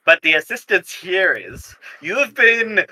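Male tabletop player, high-pitched and very bright, casual improvised role-play. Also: big pitch swings, unhurried, poor audio.

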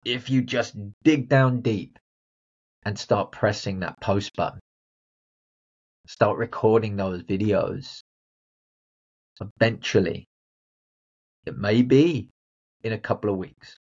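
A man's voice: on the low side, darkish tone, husky, dull audio. A man hosting a motivational solo podcast, talking bluntly and directly to the listener. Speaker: podcast host and confidence coach.

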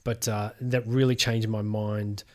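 A man's voice: on the low side, little pitch movement, unhurried. A man hosting a podcast in casual interview chat. He hesitates often, restarting phrases when he talks at length. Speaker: podcast host.

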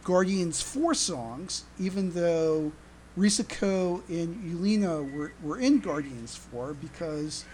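A podcast host chatting casually in a halting, stammering way, often repeating words. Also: medium pitch, a little mellow, poor audio. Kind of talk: casual panel discussion. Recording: noticeable background noise.

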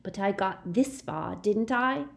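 A woman's voice: mid-range voice, slow, vocal fry, voice pushed up. A woman voicing a frightened teenage boy who tries to sound tough. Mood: boastful. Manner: tense, trembling, boastful.

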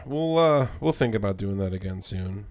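A man's voice: on the low side, animated, moderate pace, a little mellow, no echo. A man co-hosting a podcast, talking casually about wrestling in a rambling way with frequent restarts. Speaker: podcast co-host.

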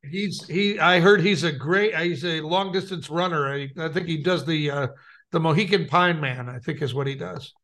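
Bright, high-pitched man co-hosting radio. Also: normal speed. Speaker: radio show co-host. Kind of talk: casual radio banter and reading of odd news items.

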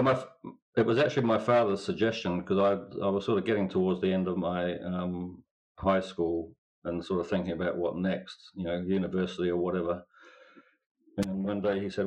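A man's voice: low voice, darkish tone, poor audio. A middle-aged man with a New Zealand accent, a winemaker guest chatting in an interview with a dry, laconic manner. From England. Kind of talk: casual interview chat.

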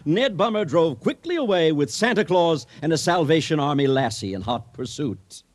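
An actor playing an exuberant comic storyteller in a radio drama, husky and grandly mock-solemn. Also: male voice, fairly high pitch, expressive, normal speed, close to an everyday voice. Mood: mock-solemn, wry. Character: exuberant comic storyteller addressing a wedding crowd. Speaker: narrator.

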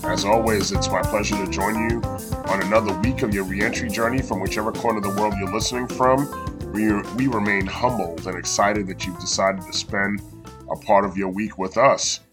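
A man's voice: fairly low pitch, steady tone, over loud music. A man hosting his own podcast in a casual, earnest monologue, sounding congested from a cold. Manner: warm, measured and earnest, with small restarts. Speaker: podcast host and coach.

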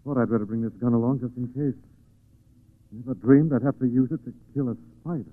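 Guy playing a calm protective detective type, mid-pitched, earnest, old radio drama, narrow tinny sound. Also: fairly quick, lightly acted. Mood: reassuring. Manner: composed, reassuring, earnest. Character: calm, protective male amateur sleuth. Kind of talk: 1940s radio acting. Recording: tinny narrow-band 1940s radio recording.

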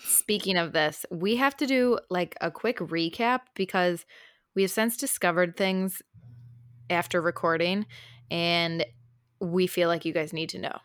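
A woman's voice: lowish voice, a little slow, dry recording, clean studio sound. A woman co-hosting a podcast in upbeat, enthusiastic casual chat, laughing easily. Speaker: podcast co-host.

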